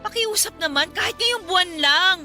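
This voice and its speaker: Bright, high-voiced desperate young mother. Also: expressive, normal speed, faint music behind, heavily acted. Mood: pleading. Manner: emotional, pleading and accusing. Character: desperate young single mother pleading with and confronting ex-lover. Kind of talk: Tagalog radio drama acting.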